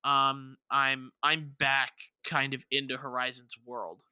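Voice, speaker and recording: A man's voice: mid-range voice, level tone, slow, bright, forceful tone, smooth, no room sound. A man co-hosting a gaming podcast, chatting casually and circling back over his words as he talks. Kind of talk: casual chat about video games.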